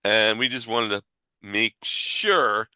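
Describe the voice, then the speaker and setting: A man's voice: on the low side, takes it slowly, sharp tone, clear, resonant tone, dry recording. A man hosting a podcast in casual, reassuring chat, often repeating himself. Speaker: podcast host.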